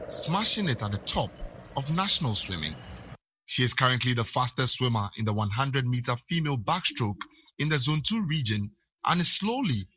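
A man narrating a sports news report in a measured way. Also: mid-range voice, pushed and bright, breathy edge, lo-fi sound.

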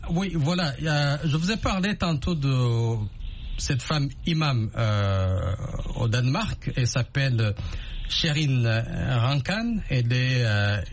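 A man hosts a radio debate in a medium-pitched voice. He poses his questions haltingly, often pausing mid-phrase. Faint noise sits behind him. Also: expressive. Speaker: radio host. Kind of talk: hosting a call-in debate, putting questions.

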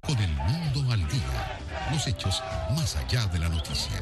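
A male announcer delivers a scripted news promo. His low voice swings in animated intonation, and loud music drives along behind it. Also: moderate pace, over a noisy crowd.

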